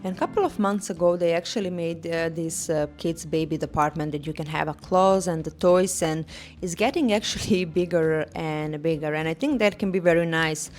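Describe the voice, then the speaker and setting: A woman's voice: low voice, normal speed, a little mellow. A young woman speaking English as a non-native speaker, narrating a vlog voice-over of a store walkthrough with small grammar slips and fillers. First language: not English; Swedish is her third language. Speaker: vlogger. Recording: voice-over with faint music behind.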